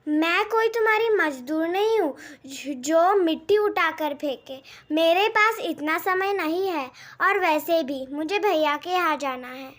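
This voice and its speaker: A woman's voice: very high-pitched, measured pace, hardly any pauses, fairly bright tone. A woman voicing a selfish, haughty young village sister in a children's folk tale cartoon, with an emphatic, complaining delivery. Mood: haughty, dismissive.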